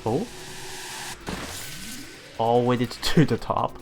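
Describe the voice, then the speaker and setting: A streamer reading visual novel text aloud in a halting way, adding casual commentary over game music. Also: medium pitch, creaky, a little mellow. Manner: halting reading, often repeating broken words. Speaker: let's player streamer.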